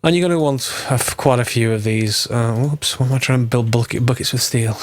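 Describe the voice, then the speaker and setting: A man, slightly hoarse from a cold, giving a casual game tutorial and thinking aloud as he corrects himself. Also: medium pitch, normal speed, no echo.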